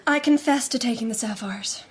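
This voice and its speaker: High-voiced, defiant young society lady. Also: normal speed, slightly rough. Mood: haughty, cool. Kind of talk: period detective radio drama acting.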